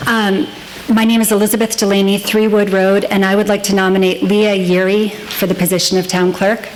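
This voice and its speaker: A quiet-voiced woman reading a prepared nomination at a town meeting, soft-spoken and polite. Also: mid-range voice, flat delivery, a little fast, a little hiss or hum, poor audio. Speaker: resident giving nominating speech.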